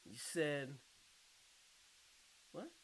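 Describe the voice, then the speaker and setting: Casting: man for casual reaction videos, higher-than-average voice, very animated delivery, slangy and bluntly derisive. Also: very slow, slight background noise, poor audio. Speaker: video commentator reading viewer comments.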